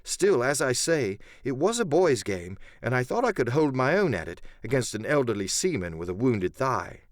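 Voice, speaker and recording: Male narrator, medium pitch, dramatic scripted storytelling, literary phrasing, very dry recording. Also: sing-song, normal speed, dry recording. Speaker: audiobook narrator and voice actor.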